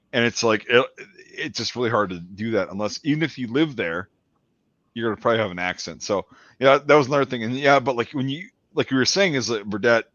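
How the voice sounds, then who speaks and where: A man's voice: medium pitch, a bit bright, no room sound, dull audio. A man hosting a casual chat, talking loosely with lots of "like" and "you know". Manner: chatty, many fillers like "like" and "you know".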